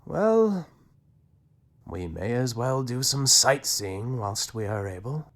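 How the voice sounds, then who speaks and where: Skeptical, unhurried young gentleman. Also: mid-range voice, big pitch swings. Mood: wry. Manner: wry, doubting. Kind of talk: audio drama acting.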